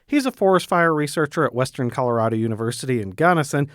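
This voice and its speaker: A man's voice: mid-range voice, expressive, fast, fairly bright tone, no room sound, studio quality. A man working as a news reporter, explaining a story in a conversational radio report.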